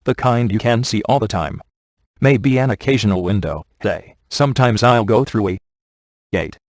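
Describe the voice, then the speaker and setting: An actor playing a sardonic, laid-back male ghost hunter in a video game, delivering wry scripted quips in a casual deadpan manner. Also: lowish voice, normal speed, dry recording. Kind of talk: scripted game dialogue, dry wisecracks.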